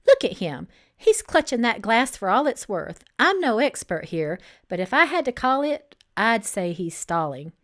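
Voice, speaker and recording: Storybook busybody played by a woman reader: sassy, scornful woman, emphatic, pitch leaping about. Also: sing-song, moderate pace, fairly bright tone. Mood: mocking. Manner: brash, mocking, emphatic. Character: sassy, scornful woman onlooker giving brash mocking commentary.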